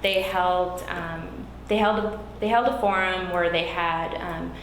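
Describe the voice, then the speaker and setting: A woman running for a school board seat, whose first language is Spanish, answering questions earnestly with frequent pauses and fillers. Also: lowish voice, fairly even intonation, a bit bright. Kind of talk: candidate interview. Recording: echoey room, background noise.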